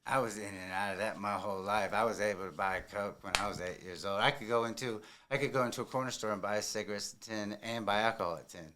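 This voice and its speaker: A man's voice: fairly low pitch, fast talker, hardly any pauses, sharp tone, a little hiss or hum. An American man in his forties, a comedian guest trading casual, bawdy banter. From South Florida, United States. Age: 40s.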